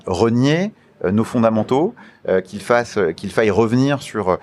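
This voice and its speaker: A man giving a formal interview answer as a guest, speaking in a measured, deliberate way with frequent pauses. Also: lowish voice, lively intonation, darkish tone. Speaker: interview guest, media regulator official.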